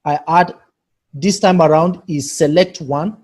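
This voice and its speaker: Husky-voiced man leading an online tutorial. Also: fairly high pitch, fairly even intonation, measured pace, darkish tone. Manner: patient, asks participants for feedback by name. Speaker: online workshop trainer. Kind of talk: live screen-share tutorial. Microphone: computer mic on a video call.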